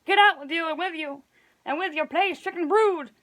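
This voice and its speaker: Woman doing a gruff bossy french gate guard, storybook style, high and blustery. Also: fairly quick, fairly bright tone. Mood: angry. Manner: blustering, exclamatory. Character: boastful, gruff male French gate sergeant.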